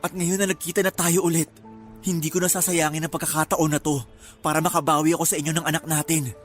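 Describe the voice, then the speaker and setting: Radio drama actor as earnest, insistent Filipino young father, high male voice over soft music. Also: flat delivery, fast talker, natural voice. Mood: earnest, tender. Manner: emotional, insistent. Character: earnest young father pleading to claim his secret child. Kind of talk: radio melodrama acting.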